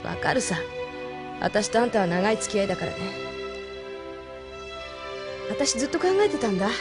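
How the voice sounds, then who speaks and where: An actress playing a tough, frank young female mech pilot and former delinquent with rough, blunt speech in an audio drama. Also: medium pitch, lively intonation, fast, natural voice. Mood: earnest, confiding. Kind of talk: Japanese audio drama acting. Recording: music behind the voice.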